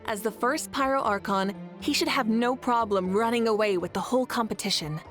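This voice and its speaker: A woman's voice: a little high, a little fast, lightly acted. A woman voicing a confident, passionate adult ruler in a game, teasing and laughing easily. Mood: assured. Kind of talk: game voice acting. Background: faint game music.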